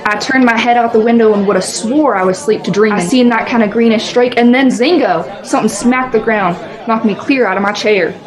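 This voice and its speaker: A woman's voice: on the high side, brisk, few pauses, lightly acted. A woman playing a rustic male farmer in a radio play, rambling and colloquial as an eyewitness. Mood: folksy, rambling. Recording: clearly audible crowd noise around voice. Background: crowd.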